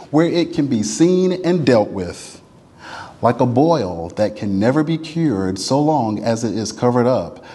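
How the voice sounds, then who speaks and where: A man reads a historic letter aloud in a measured, oratorical way, as a reader in a church. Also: mid-range voice, dark tone. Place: church sanctuary. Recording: long reverb of a large room.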